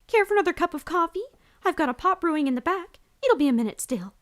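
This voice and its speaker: A woman's voice: very high voice, animated, quick pace. A woman voicing an elderly, motherly mare who runs a bakery, fussing over customers and calling them dear. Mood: friendly. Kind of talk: amateur audio drama acting.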